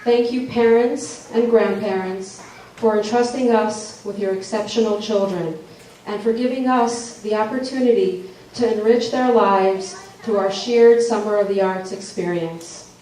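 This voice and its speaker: A woman's voice: medium pitch, a little slow, dark tone. A woman giving a prepared welcome speech as the host of a ceremony. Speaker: event host, camp director. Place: large echoey hall with an audience. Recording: very echoey hall, distant mic, audience, faint noise.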